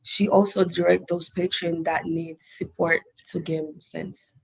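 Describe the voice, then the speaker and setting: A woman whose first language is Haitian Creole, presenting at a public meeting in careful, halting English. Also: on the low side, little pitch movement, creaky, a little mellow, breathy edge. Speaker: GameSense advisor. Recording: dull lo-fi meeting audio.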